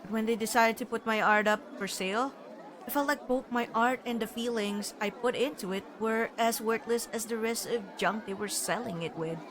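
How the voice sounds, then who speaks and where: A woman's voice: a little high, moderate pace, a faint crowd. A woman live streaming a visual novel, reading text aloud and chatting expressively. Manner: expressive, reacts with frequent laughter and exclamations. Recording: noisy stream with game sound behind. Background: game audio and ambience.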